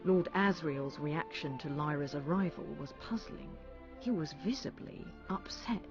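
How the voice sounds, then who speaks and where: A man narrating a fantasy adventure game as a solemn, measured storyteller. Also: on the high side, lively intonation. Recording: dull sound under clear music. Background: music.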